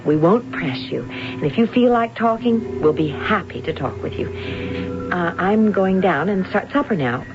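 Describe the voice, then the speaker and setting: An actress playing a caring, anxious wife who is gentle and patient, in an old-time radio drama. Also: female voice, fairly low pitch, animated, normal speed, music in the background. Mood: soothing, patient. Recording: muffled noisy old radio drama recording.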